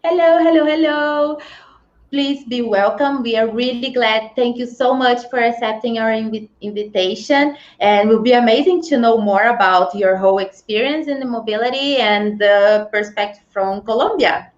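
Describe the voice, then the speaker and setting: Lady cohost, peppy, kinda high voice, echoey dull call audio. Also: normal speed. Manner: upbeat, laughs often. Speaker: co-host. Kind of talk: live show hosting.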